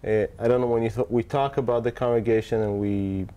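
A man in his forties, a pastor from Israel whose first language is Hebrew, answering interview questions softly and hesitantly, recorded on a lapel mic in a church hall. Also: mid-range voice, steady tone, fairly quick. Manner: soft-spoken, hesitant with fillers.

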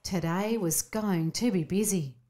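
A woman narrating a scripted children's bedtime story in an expressive storybook manner. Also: fairly low pitch, unhurried.

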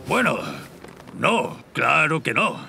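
Gravelly, high-pitched pompous hunter. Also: male voice, sing-song, slow pace, sharp tone, faint music behind, exaggerated voice. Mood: flustered, defensive. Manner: blustering, argumentative. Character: pompous, greedy, stubborn village hunter, a middle-aged man. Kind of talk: animated series dub acting.

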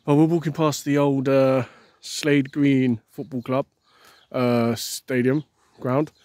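A man chatting casually outdoors as a vlogger, in a halting way with repeated words. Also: mid-range voice, level tone, darkish tone, no echo. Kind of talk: casual chat on a walk. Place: outdoors beside an overgrown field.

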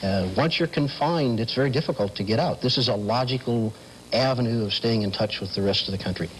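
Middle aged american guy explaining stuff as a studio guest, medium pitch, faint noise on old tv audio. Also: a little fast. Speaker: telephone company specialist, guest. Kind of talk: explanatory interview talk. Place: television studio. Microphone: lapel mic. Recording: slightly noisy vintage TV sound.

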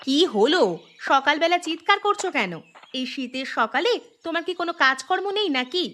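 A woman playing a grumbling, sharp-tongued village wife in a cartoon. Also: high voice, animated, normal speed. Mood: annoyed. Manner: scolding, complaining. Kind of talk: cartoon dialogue. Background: plucked string music.

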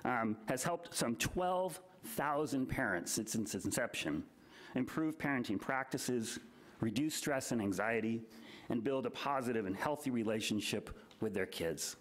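A man in his forties giving prepared public remarks at a podium microphone in a large board chamber, measured and earnest. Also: mid-range voice, lively intonation. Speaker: public commenter, nonprofit director. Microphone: podium microphone, standing.

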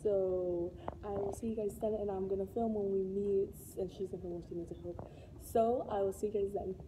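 A young woman vlogging to her phone at arm's length, chatty and excitable, raspy from just waking up. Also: medium pitch, brisk, a little hiss or hum, rough recording. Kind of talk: casual vlog to camera.

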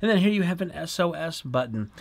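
Casting: middle-aged male review host, slightly high voice, chatty, casual explainer delivery. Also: expressive, brisk, gravelly. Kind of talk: casual product demonstration to camera.

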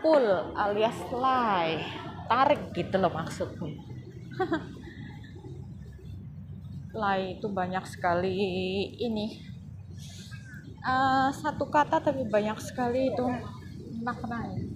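Woman vlogger, relaxed and slow, phone mic, busy street noise behind. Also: on the high side. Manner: chatty, relaxed commentary while walking. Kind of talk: casual walking vlog. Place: outdoor streets and indoor shopping mall. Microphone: handheld phone camera. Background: street and mall ambience.

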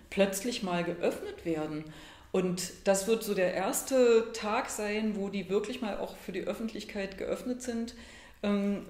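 A woman's voice: mid-range voice, darkish tone, reverberant. A middle-aged woman, a pastor, giving an informal welcome address.

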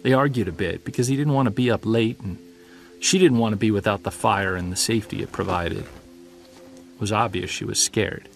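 A man's voice: on the low side, moderate pace, creaky, faint music behind. A man acting as a witness who recounts an unsettling childhood memory in a hesitant, conversational audio drama style. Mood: uneasy, reflective. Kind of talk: naturalistic audio drama storytelling.

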